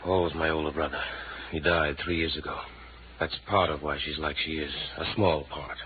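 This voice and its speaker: A man's voice: a bass voice, steady tone, normal speed. A man playing a bitter, protective brother in a 1950s radio drama, curt and guarded. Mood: grim. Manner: curt, grim, hostile. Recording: noisy, tinny 1950s radio recording.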